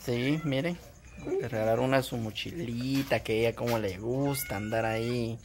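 Host role: very deep female voice, halting, filler-heavy chat to camera, recorded outdoors. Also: a little mellow. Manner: halting, repeats words, lots of fillers. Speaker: vlog host. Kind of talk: casual chat with viewers. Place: outdoor patio at a home.

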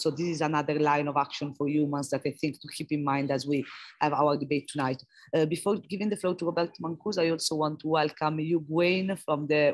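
A woman in her thirties chairs an online meeting through an earbud headset. She keeps a flat, even tone and pauses often to search for words. The sound is close and dry. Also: low voice, dry recording. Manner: conversational, with many fillers and restarts. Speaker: co-president and meeting host. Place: large indoor hall with windows. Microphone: wired earbud headset on a video call.

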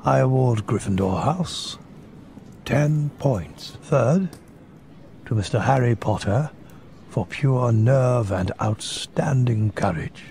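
An actor playing a wise, kindly old wizard headmaster, giving a ceremonial speech in a video game with stately, weighty pauses. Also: male voice, mid-range voice, creaky, darkish tone, a little hiss or hum. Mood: musing, pleased.

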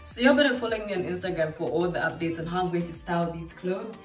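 A nineteen-year-old woman from Zambia, chatting casually and excitedly through a clothing try-on haul in her living room. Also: lowish voice, fast. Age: late teens. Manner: chatty, bubbly, lots of fillers. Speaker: vlogger. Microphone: camera mic from across the room. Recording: echoey room, distant mic, faint music.

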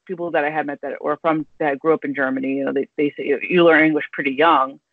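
A woman in her thirties hosting a podcast, thinking aloud in halting, rambling sentences over a video call. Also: low-pitched, steady tone, no room sound. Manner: rambling, halting, full of restarts and fillers. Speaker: podcast host. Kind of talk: casual interview chat. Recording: tinny dry video call audio.

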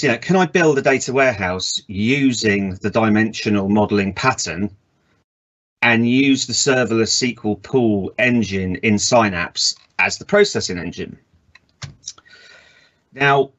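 A man in his thirties giving a relaxed technical conference talk from a home office over a video call, with frequent ums and uhs. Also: lowish voice. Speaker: conference presenter. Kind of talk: technical presentation over slides.

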